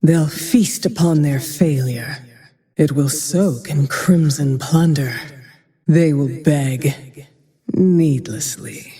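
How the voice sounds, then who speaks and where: An actress voicing a grim, vengeful frost archer in a video game, with cold, terse, menacing delivery and a breathy tone that sometimes drops to a whisper. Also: female voice, low voice, takes it slowly, mellow. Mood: brooding, vengeful. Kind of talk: video game character voice lines. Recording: long cavernous reverb tail.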